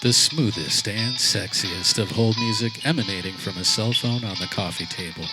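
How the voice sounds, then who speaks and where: A man's voice: fairly low pitch, moderate pace. A man narrating a scripted comic radio play before a live audience. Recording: music behind, live backyard show.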